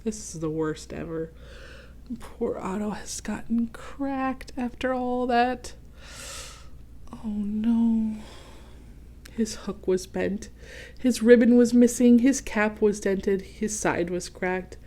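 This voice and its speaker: A woman reading a picture book aloud to children, expressive and often turning to speak directly to the young listeners with questions and explanations. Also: a little high, takes it slowly. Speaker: read-aloud host for children.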